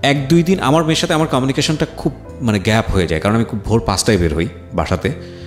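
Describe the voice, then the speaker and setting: A man's voice: mid-range voice, quick pace. A man with a Bangladeshi accent hosting a radio interview, asking probing questions and commenting, mixing English words into his speech. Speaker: radio show host interviewing a guest. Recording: roomy echo with faint music behind.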